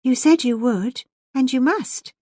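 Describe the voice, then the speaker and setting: A woman as a petulant, high-voiced young lady. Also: unhurried, darkish tone, no echo, studio quality. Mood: insistent. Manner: importunate, emotional pleading. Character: petulant, coaxing young lady, pretty, proud and insistent.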